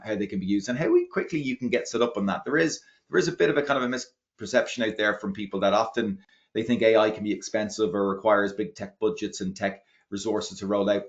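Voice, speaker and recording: An Irish man presenting in a webinar over a video call, energetic and chatty, often tagging his sentences with "right?". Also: lowish voice, vocal fry. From Ireland. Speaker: webinar presenter, product marketing executive. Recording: dull lo-fi webinar audio.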